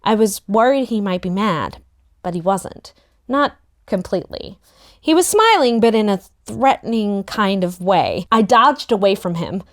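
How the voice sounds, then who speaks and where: Animated woman reading a story aloud. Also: medium pitch, a little slow. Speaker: narrator in a book table read. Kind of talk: expressive first-person story reading.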